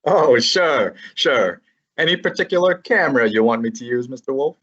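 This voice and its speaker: A woman playing a breezy, sarcastic young male assistant detective in a student radio drama, with a husky voice. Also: rumbling low, expressive, normal speed, heavily acted. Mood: wisecracking. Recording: dull lo-fi recording.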